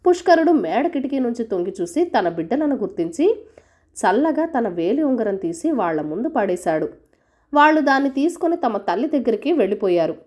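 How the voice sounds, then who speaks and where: A woman tells a children's folk tale. Her narration is scripted and very animated, with pitch that moves widely from phrase to phrase. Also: brisk. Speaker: storyteller.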